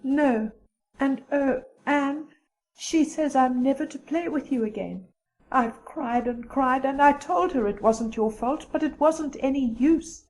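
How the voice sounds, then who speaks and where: A woman voicing a sad, gentle young girl in a storybook reading. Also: sits high, voice pushed up, low-quality recording. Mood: tearful. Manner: tearful and hurried.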